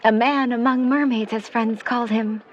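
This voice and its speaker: A woman playing a protective, skeptical middle-aged mother in a 1950s New York audio drama, speaking in formal, measured, wordy sentences. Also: on the high side, fairly even intonation, muffled recording, a little hiss or hum, natural voice. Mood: wistful. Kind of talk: vintage-set audio drama acting.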